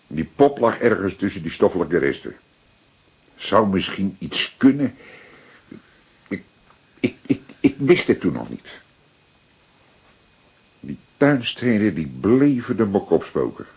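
Older Dutch detective, radio-play style, deep, markedly husky, animated intonation, deliberate, secretive. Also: male voice, full character voice. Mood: reflective, explanatory. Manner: deliberate, emphatic, repeating words for effect. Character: seasoned male police detective, paternal, methodical, secretive. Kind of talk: dramatic detective dialogue acting.

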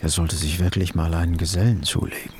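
A condescending man muses to himself in a radio play. The voice is low and mellow, with a creaky edge. He sounds scornful and brooding. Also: steady tone, fairly quick, a little hiss or hum. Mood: quiet disdain. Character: brooding, scornful male hatter musing about his neighbour. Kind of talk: radio play inner monologue.

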